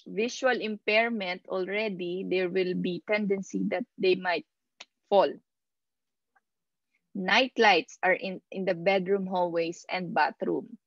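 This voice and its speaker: A woman instructor giving a slide lecture over a video call, reading out points and explaining them haltingly, pausing mid-phrase. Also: medium pitch, no echo, muffled recording. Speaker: instructor of nursing assistant course.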